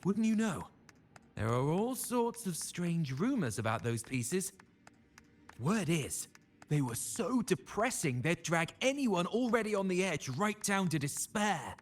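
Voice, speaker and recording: A man voicing an inquisitive, gossiping gentleman in a video game, speaking in a pointed, theatrical, insinuating way. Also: high-pitched, sing-song, unhurried. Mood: prying, insinuating. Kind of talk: dramatic video game voice acting. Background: background music.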